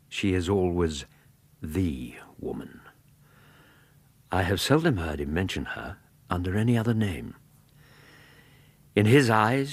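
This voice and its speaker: A very deep, husky English gentleman narrates a radio drama as a man recalling a dear friend. He is measured and reflective, with expressive rises and falls, in a dry close studio sound. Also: dry recording, heavily acted. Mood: solemn, hushed. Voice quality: husky, low. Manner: measured, expressive, literary phrasing.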